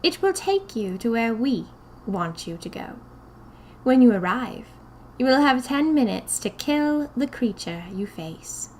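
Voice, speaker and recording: Woman acting a stern, slow-speaking small cult mistress. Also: on the high side, sing-song, darkish tone, a little hiss or hum, voice pushed up, rough recording. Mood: cold, commanding. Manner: commanding, formal, ceremonious. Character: small, stern schoolmistress-like woman leading sinister magical society. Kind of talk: audio fiction storytelling.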